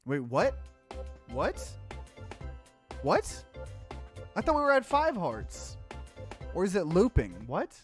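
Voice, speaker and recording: An animated gaming host with a medium pitch and a very lively range, reading on-screen story text dramatically and reacting aloud, with music behind the voice. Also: takes it slowly, long pauses. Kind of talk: let's play commentary with dramatic reading.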